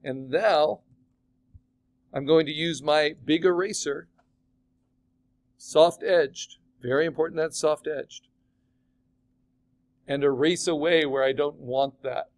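A man teaching a digital art class, chatting casually and asking his students questions as he demos the software. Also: on the high side, takes it slowly, pauses often. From California. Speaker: art instructor.

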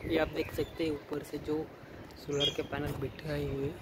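Man, halting casual walkthrough, handheld phone recording, noisy background with traffic. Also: on the high side, monotone. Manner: halting, repeats words and restarts while pointing things out. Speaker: electrician showing an installation. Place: rooftop outdoors, then indoor stairwell and wall. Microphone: handheld phone camera, filming himself off-frame.

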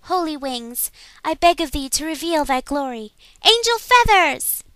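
A woman voicing a gentle, earnest teenage heroine with a sweet girlish voice in an anime game dub audition. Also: high voice, big pitch swings, unhurried, dry recording, heavily acted. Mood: solemn battle cry.

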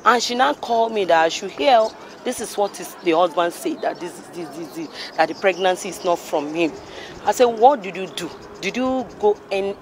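A middle-aged Nigerian woman answering a street interview, telling a story earnestly with stops and restarts into a handheld mic. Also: lowish voice. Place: busy city street outdoors. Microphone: handheld mic held by interviewer. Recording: street traffic noise.

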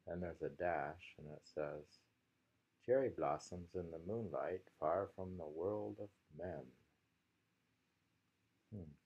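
A man in his sixties reading poems aloud with informal commentary, musing and pausing as he goes in a gravelly voice. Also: low-pitched, darkish tone, lo-fi sound. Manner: unhurried, musing, with pauses. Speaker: poetry reader. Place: small room indoors at home.

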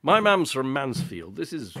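A sullen, combative male geologist trapped underground in a radio play, played by a male actor. His pitch swings very widely as he talks, surly and quick to defend himself. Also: sing-song, noisy background. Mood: angry, defensive.

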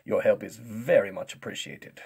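A man playing a patient, soft-spoken teacher with a gentle, unhurried manner in a kids' multiplication skit. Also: lowish voice, animated, gravelly, slightly rough, heavily acted. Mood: patient, reassuring.

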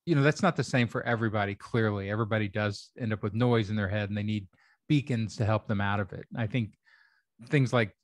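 A man's voice: on the low side, a little fast, dry recording. Dry-sounding wry middle-aged man. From Kentucky. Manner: wry, musing, joking asides. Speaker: podcast host. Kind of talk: casual podcast chat. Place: home room. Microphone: desk microphone on arm.

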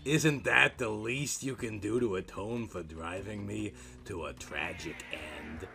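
A man's voice: lowish voice, measured pace, creaky, bright, forceful tone, breathy edge, voice pushed down, heavily acted. A man voicing a gloating, possessive walking corpse from a horror comic, theatrical and taunting. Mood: self-pitying.

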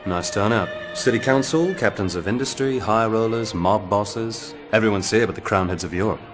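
An actor playing a cool, wry secret agent in a video game, dry, businesslike and understated. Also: male voice, on the low side, moderate pace, close to an everyday voice. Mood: wry, cautioning. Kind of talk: video game cutscene acting. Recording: game audio with clear music behind.

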